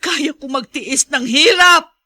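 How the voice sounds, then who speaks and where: Anguished, high-voiced Filipino drama mother. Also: slow pace, pushed and bright, full character voice. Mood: anguished, resolute. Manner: emotive, emphatic, moralizing delivery. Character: mother with failing eyesight, upright, anguished, long-suffering. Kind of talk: Filipino radio drama acting.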